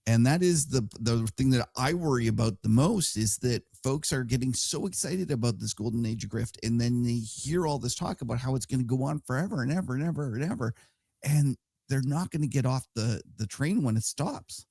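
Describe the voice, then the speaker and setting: Gravelly dark-voiced podcast host. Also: male voice, mid-range voice, lively intonation, a little fast, dry recording, clean studio sound. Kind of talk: casual podcast chat.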